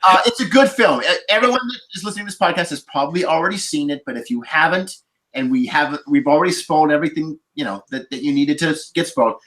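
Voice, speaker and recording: A man's voice: on the high side, animated, quick pace, a bit bright, poor audio. A man speaking as a podcast panelist in a casual movie discussion.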